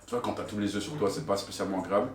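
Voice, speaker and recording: A young man from Brussels telling a personal story in slangy, animated casual talk. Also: fairly low pitch, rapid-fire. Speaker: panel guest. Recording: echoey room, distant mic, soft music.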